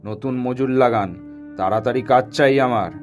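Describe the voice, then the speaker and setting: A man's voice: mid-range voice, normal speed, a little mellow, soft background music, natural voice. A man playing a greedy, self-important businessman in a cartoon, demanding and domineering. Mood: demanding, smug. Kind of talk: cartoon voice acting.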